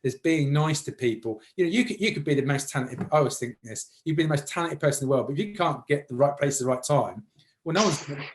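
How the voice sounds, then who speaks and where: A man with a fairly high voice chats as an interviewer. He talks casually and loosely, circling and repeating words while he works toward his point. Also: fast.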